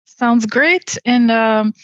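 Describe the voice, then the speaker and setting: A woman originally from Bulgaria answers interview questions in a measured, polite way. Her audio is dry and a bit dull, like a remote recording. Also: a little high, fairly bright tone, no echo. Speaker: podcast guest, real estate investor.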